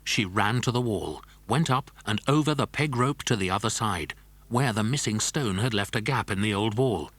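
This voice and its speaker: A man's voice: fairly low pitch, normal speed, a bit bright, dry recording. A man narrating a scripted children's adventure story. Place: dry studio.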